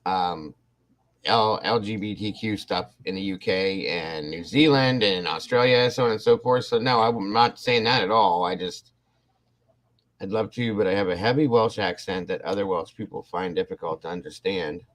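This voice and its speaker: A man's voice: deep voice, moderate pace, a bit bright. A man hosting a casual livestream, rambling and restarting his sentences as he talks to his viewers. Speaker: livestream host. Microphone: computer mic on a livestream.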